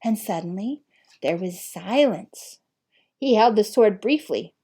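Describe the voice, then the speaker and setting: Mellow-voiced woman reading a story aloud. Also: medium pitch, expressive, takes it slowly, husky. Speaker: audiobook reader. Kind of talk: expressive storybook narration.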